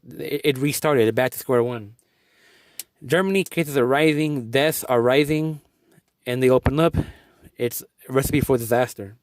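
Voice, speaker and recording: A young adult man from Guatemala talks earnestly in a middle-pitched voice, rambling and restarting his sentences as he goes. The recording is dry and close, with no room sound. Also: no echo. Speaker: vlogger. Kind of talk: casual video update.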